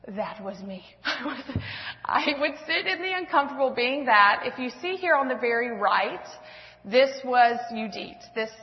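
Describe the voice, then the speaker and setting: Woman in her 30s, church testimony speaker, rambling and self-mocking, muffled lo-fi hall sound. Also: fairly high pitch. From Washington State, US West Coast. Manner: self-deprecating, laughing often, rambling with fillers. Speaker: speaker at a church women's event. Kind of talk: personal testimony talk with slides.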